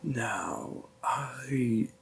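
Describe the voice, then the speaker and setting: A gravelly demon boyfriend, played by a man in an intimate audio roleplay. He speaks extremely slowly, with a creaky, breathy edge and long pauses. He is doting and protective, gently coaxing the one he loves. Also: mid-range voice, expressive, heavily acted. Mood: affectionate, teasing. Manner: slow, deliberate, hushed, with lingering pauses. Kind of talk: slow, intimate roleplay audio monologue to the listener.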